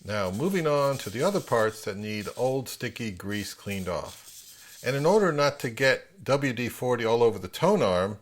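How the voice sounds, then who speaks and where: A man narrating a casual hands-on how-to, unhurried and thinking aloud as he works. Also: mid-range voice, expressive. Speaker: repair tutorial presenter.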